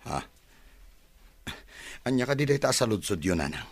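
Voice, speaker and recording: A man's voice: fairly low pitch, sing-song, normal speed, no room sound, soft background music. A man acting a troubled married son in a radio drama, glum and evasive, with a Filipino accent. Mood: startled, evasive.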